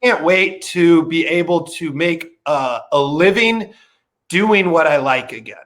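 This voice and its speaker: Thirties comedian guest, high-ish voice, easygoing and wry about himself. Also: male voice, animated, unhurried, a bit bright. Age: 30s. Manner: laid-back, self-deprecating, jumps into a high voice when quoting himself. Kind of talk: casual chat.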